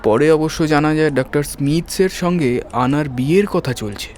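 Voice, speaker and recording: A man's voice: a little high, moderate pace, a little mellow, a little hiss or hum, close to an everyday voice. Man acting a polite, earnest young surgeon. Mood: earnest, confiding. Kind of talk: dramatised detective audio story acting.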